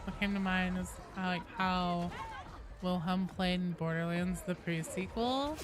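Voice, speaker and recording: A woman's voice: lowish voice, measured pace. A woman game streamer chatting casually and conversationally over gameplay, with some swearing. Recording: noisy game audio behind the voice.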